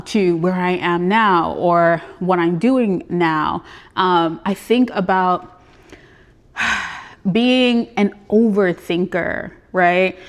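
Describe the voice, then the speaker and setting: A woman from Trinidad whose accent is mixed with Brooklyn and Texas, a business coach giving an earnest, chatty motivational talk on a live stream and greeting viewers as she goes. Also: mid-range voice.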